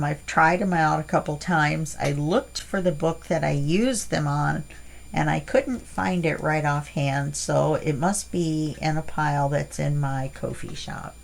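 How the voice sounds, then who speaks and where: A woman's voice: on the low side, creaky. A middle-aged woman hosting a casual crafting unboxing, chatty and rambling with fillers, her voice stuffy from allergies. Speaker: crafting channel host.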